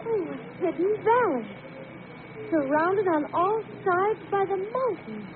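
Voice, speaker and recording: Nervous lady explorer, very high lively voice, old radio drama, tinny and noisy. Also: takes it slowly, exaggerated voice. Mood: uneasy wonder. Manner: wondering, apprehensive. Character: anxious, questioning female explorer on an expedition. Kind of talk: old-time radio adventure drama acting.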